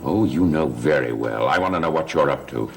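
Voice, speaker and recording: A man's voice: extremely low pitch, lively intonation, brisk, music in the background. An actor playing a stern, menacing middle-aged stepfather in a gothic soap opera. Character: scheming stepfather, menacing man married into a wealthy family.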